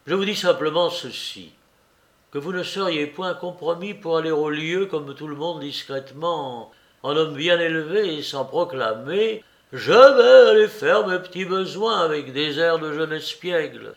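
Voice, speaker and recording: Man playing a fed-up young clerk in his 20s, sarcastic, yells a lot, a bit high. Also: measured pace, gravelly. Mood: exasperated. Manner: sarcastic, shouting, exasperated. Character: exasperated young male office clerk.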